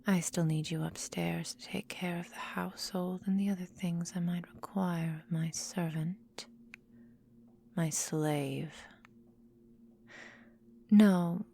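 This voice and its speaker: A woman playing a centuries-old vampire mistress in a whispered ASMR roleplay, breathy and close to the microphone, condescending, possessive and coolly doting, with formal, deliberate diction. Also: on the low side, steady tone, warm tone, slight background noise. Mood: stern, possessive.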